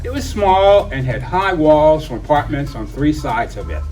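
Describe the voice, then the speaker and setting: Expressive man reading a children's story, distant mic. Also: on the high side, moderate pace. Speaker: storybook reader. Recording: distant mic, music behind.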